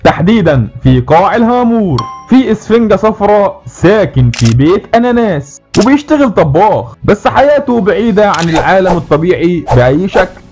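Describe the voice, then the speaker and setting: Egyptian guy doing a scripted cartoon recap, talks quick, kinda high voice, faint music under it. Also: big pitch swings, a little mellow. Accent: Egyptian Arabic. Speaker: narrator of a cartoon recap video.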